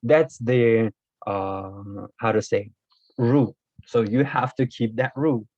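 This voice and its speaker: A man whose first language is not Korean, explaining Korean grammar in a casual video lesson, hesitant with many fillers and self-corrections, his voice a little hoarse. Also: medium pitch, takes it slowly, darkish tone, no room sound. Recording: dull, dry lo-fi recording.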